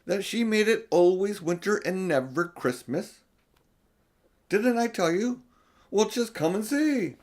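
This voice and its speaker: A man voicing an excited, jubilant male beaver from a storybook, exclaiming and calling out. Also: sits high, measured pace, voice pushed up. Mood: triumphant.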